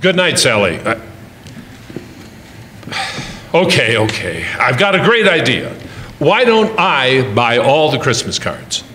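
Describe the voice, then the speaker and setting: A man's voice: mid-range voice, very animated, unhurried, big-room sound, background noise. A man acting a wry, exasperated but doting husband who narrates an old-time radio comedy with puns. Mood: resigned. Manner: wry, punning storyteller. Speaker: actor and narrator in a radio play.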